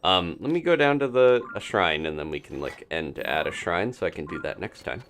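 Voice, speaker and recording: Guy streaming a game, deep voice that moves around a lot, rambly casual commentary, slight noise. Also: animated, brisk, a bit bright. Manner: rambling, thinking aloud.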